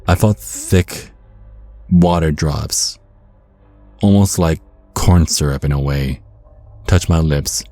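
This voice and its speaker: Man playing a very deep-voiced vampire storyteller. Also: slow pace, pauses often, dark tone, no echo, soft background music. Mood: ominous. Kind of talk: first-person horror storytelling, ASMR.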